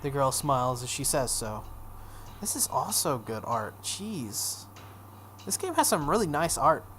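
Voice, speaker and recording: A woman's voice: extremely low pitch, big pitch swings, a little slow, a bit bright. Woman reading a game aloud, faint noise. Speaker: video game playthrough host. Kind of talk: reading visual novel aloud with casual commentary. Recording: some background noise.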